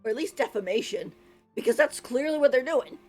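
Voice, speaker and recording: A woman's voice: sits high, fairly quick, darkish tone, faint music behind. A woman giving casual, opinionated live commentary as she streams a game. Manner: informal, opinionated.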